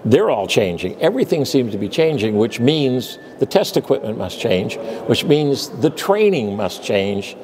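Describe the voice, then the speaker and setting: A man's voice: medium pitch, big pitch swings, measured pace, few pauses. A man hosting interviews at a conference, friendly and encouraging, nudging his guest along with frequent short affirmations. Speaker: interview host, managing editor. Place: busy conference venue. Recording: noisy conference floor.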